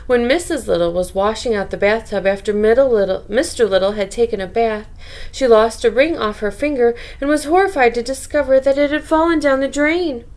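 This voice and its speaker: A woman with a fairly high voice reads a children's story for bedtime. She is expressive and speaks directly to young listeners, mixing narration with chatty little asides. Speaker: storyteller reading a children's book aloud.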